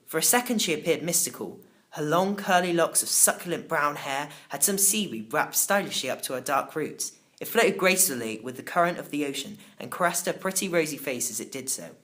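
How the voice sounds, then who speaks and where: A man narrating a children's novel in the first person, expressive and theatrical. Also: fairly high pitch. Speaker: audiobook narrator.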